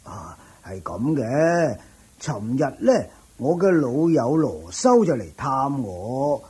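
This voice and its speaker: Elderly devotee: very slow, husky, wavering pitch, halting and respectful, Hong Kong Cantonese radio drama. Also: male voice, big pitch swings, a little mellow, full character voice. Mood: respectful, worried.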